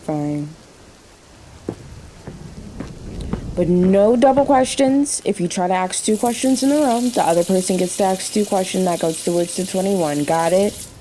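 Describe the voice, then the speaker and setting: Woman playing worn out waitress on night shift, sassy n chatty, kinda soft mellow voice, faint storm noise. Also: medium pitch, normal speed, lightly acted, rough recording. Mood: wry, firm. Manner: exasperated, wry, talkative. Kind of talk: naturalistic radio play acting. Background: rain and thunderstorm ambience in a diner scene.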